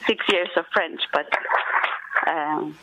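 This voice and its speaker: A Swedish woman in her forties, a teacher with a Swedish accent, chatting casually in careful English with pauses and frequent laughter. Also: low-pitched, vocal fry, noisy background. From Sweden. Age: 40s. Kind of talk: casual phone conversation. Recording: dull lo-fi phone line.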